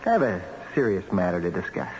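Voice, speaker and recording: An actor playing a breezy, worldly big spender and tempter, folksy and teasing, in 1970s radio drama style. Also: male voice, sits low, very animated, brisk. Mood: probing, persuasive. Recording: dull old radio drama recording, music behind.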